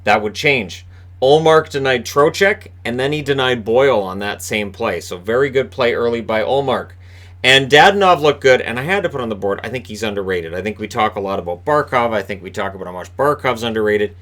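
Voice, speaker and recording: A man hosting a casual solo hockey recap from a room in his home, with a booming voice, talking in a rambling, chatty way with lots of fillers and restarts. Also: animated, brisk, a bit bright. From Canada. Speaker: hockey commentator and video host.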